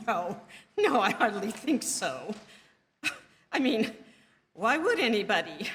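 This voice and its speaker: An actress playing a nervous, demanding bedridden wife in a theatrical radio suspense drama, querulous and melodramatic. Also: female voice, fairly high pitch, bright, forceful tone, exaggerated voice. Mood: anxious, fretful. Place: theatre stage. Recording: live theatre stage, long hall reverb.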